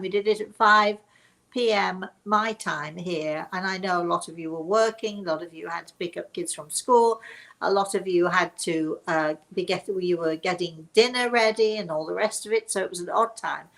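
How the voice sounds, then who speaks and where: Older british lady, bit of creak in her voice, rambly chatty host just talking to her viewers. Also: on the low side, normal speed, fairly bright tone, poor audio. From Britain. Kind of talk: casual chat to online viewers.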